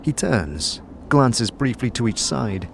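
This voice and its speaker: A man's voice: mid-range voice, big pitch swings, slow, creaky, slight background noise. A man giving scripted, second-person narration for a fantasy audio drama as its storyteller. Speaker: narrator and game-master storyteller.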